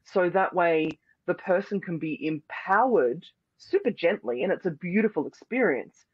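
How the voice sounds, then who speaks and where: A man's voice: sits high, animated, normal speed, breathy edge, no echo, dull audio. A man, a hypnosis and NLP practitioner, giving earnest, flowing answers as an interview guest. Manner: earnest, repeats "beautiful" and "powerfully", flowing explanations.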